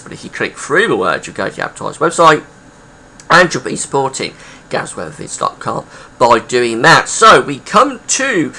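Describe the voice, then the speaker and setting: A man with a croaky, gravelly voice, hosting a casual weather history video, chatty and full of pauses and fillers. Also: mid-range voice, bright, forceful tone, rough recording. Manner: chatty, rambling with many uh fillers.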